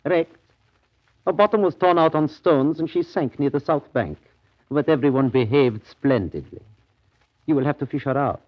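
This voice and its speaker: An actor playing a grave, evasive colonial trading station manager, measured and ominous in a radio drama. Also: male voice, on the high side, animated. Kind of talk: 1930s radio drama acting. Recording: dull, lo-fi 1930s radio recording.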